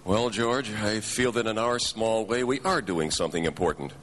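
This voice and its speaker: A man's voice: fairly low pitch, moderate pace, fairly bright tone, natural voice. An actor playing a kindly, tired older father in a live radio drama. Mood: gentle, weary. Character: weary, kindly older father running a small business. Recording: faintly noisy live radio stage broadcast.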